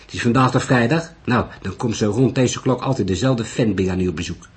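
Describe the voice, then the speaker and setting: A man voicing an upset, blunt brothel landlady who talks in a quick, indignant, colloquial way, in an audiobook story. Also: mid-range voice, husky. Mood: agitated.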